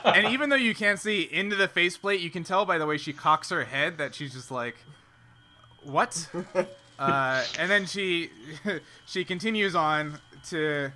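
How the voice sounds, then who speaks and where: Bright-voiced man running a tabletop game. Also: a little high. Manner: halting, thinking aloud. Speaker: game master. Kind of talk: improvised tabletop game narration.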